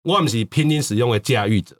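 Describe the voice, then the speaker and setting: A man's voice: medium pitch, fast. A man with a Taiwanese accent explaining his views in casual discussion, emphatically repeating his points. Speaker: podcast speaker on language.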